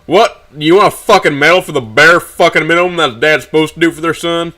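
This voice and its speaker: A man's voice: medium pitch, fairly even intonation, a little fast, bright, forceful tone, lightly acted. A man voicing a desperate, resentful young son in an audio story, speaking with raw emotion to his father. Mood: bitter fury. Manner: pleading, raw, emotional outbursts.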